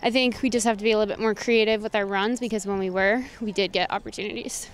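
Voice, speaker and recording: A woman's voice: medium pitch, steady tone, quick pace, clean tone. A woman in her twenties, a soccer player giving a post-game interview outdoors into a handheld mic. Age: 20s. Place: outdoor stadium at night. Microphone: handheld interview microphone.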